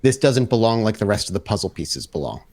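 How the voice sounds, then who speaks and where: A man working as game master, improvising narration casually and haltingly as he thinks aloud. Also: fairly low pitch, very animated, a little mellow.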